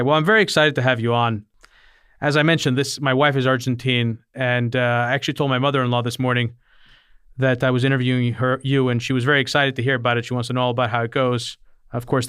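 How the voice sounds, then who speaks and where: A man hosting a podcast interview, asking eager, quick questions with small restarts. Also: mid-range voice, a bit bright, smooth, no room sound, clean studio sound. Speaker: podcast host.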